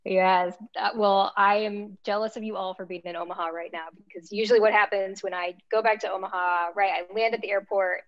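A woman's voice: medium pitch, steady tone, fairly quick, hardly any pauses, dry recording. Young woman on a zoom panel, chatty, says like a lot, muffled dry sound. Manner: chatty, laughs easily, uses "like" and "right". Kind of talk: casual panel talk. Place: home room. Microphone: video call. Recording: dull, dry video call audio.

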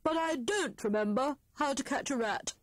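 A woman's voice: high-pitched, normal speed, no room sound, clean studio sound, voice pushed up, close to an everyday voice. A woman voicing a bold, boastful male hare in a storybook reading. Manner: bold and self-assured.

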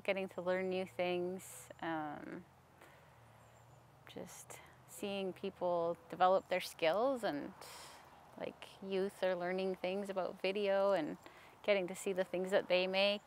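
Soft-spoken woman losing her voice. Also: medium pitch, a little hiss or hum. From Canada. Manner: soft-spoken, hesitant with frequent ums. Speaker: staff member interviewee. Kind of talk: casual interview answers. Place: outdoors in a sunny park.

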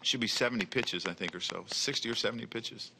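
A man in his forties, a baseball manager, answering reporters at a press conference table mic, measured and often repeating words as he starts. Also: lowish voice, gravelly, heavy background noise. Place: press room in front of a team backdrop. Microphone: table mic with a logo flag.

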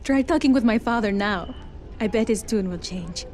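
An actress playing a sincere young villager in a video game, speaking softly. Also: female voice, medium pitch, normal speed, faint music behind, lightly acted. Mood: grateful. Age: young adult. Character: sincere young woman villager, daughter of the leader.

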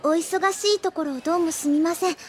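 A woman playing a headstrong, impetuous high school girl in an anime audio drama, assertive and quick to pout. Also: steady tone, slight background noise. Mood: polite. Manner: assertive, pouty, girlish.

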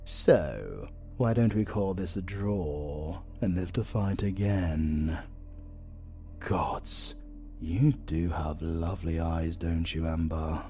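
A man's voice: low voice, a little mellow, faint music behind. A British man playing a flirtatious, sardonic supervillain in a romantic comedy audio roleplay, drawling and teasing theatrically. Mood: sly, bargaining. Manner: slow, drawling, teasing, dramatic.

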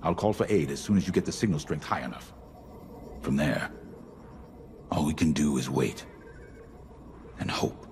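A man acting a grave, principled middle-aged rebel fleet commander in a video game, with a measured, solemn, deliberate delivery. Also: extremely low pitch, expressive, a little mellow, soft background music. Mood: grave, urgent. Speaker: military commander.

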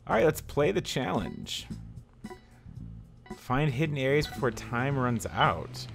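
A man giving casual, excitable let's-play commentary while he drives in a game, thinking aloud. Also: medium pitch, slow, vocal fry. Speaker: gaming YouTuber. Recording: game music behind.

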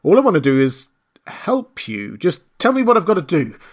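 A man's voice: on the high side, sing-song, moderate pace, fairly bright tone, voice pushed up. A man voicing a flustered, easily frightened rustic tramp with old-fashioned country speech. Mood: flustered, astonished. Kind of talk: audiobook character reading.

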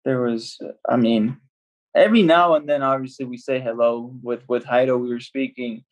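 A man's voice: mid-range voice, fairly even intonation, normal speed, low-quality recording. A young man, a footballer from El Salvador, talking casually as a guest on a video-call interview, rambling with many restarts. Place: small home room. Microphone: laptop video call.

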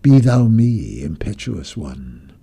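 A man giving a slow, grave, declamatory reading of a poem, swelling and then dropping to a hush. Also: lowish voice, big pitch swings, gravelly, slightly rough. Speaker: poetry reader. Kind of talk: dramatic poetry recitation.

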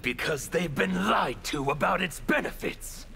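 A gravelly anarchist villain from a fighting game. He is menacing and bitter, speaking slowly and deliberately with big swings in pitch over faint music. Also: lively intonation, bright, forceful tone, heavily acted. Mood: defiant, menacing. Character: mutilated male chaos zealot, bitter freethinking anarchist villain. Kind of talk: fighting game character dialogue.

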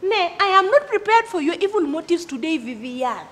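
Woman acting flustered older sister, extremely high voice, swooping intonation, indignant protests, drama dialogue. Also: big pitch swings, fairly quick. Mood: wary, pleading. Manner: protesting, repeats phrases for emphasis.

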